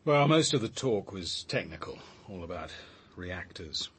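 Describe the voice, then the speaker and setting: An actor playing a reserved, analytical senior police officer in a radio drama, thoughtful and articulate. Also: male voice, sits low, big pitch swings, measured pace, husky, heavily acted. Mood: analytical, reflective. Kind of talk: naturalistic radio drama acting.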